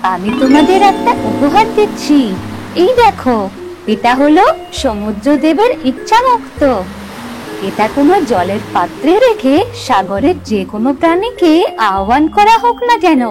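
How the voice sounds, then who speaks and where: A woman voicing a kind young mermaid in a fairy tale cartoon, gentle and earnest, with music behind her. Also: high-pitched. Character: kind, grateful young mermaid giving a magic gift. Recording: music under the voice.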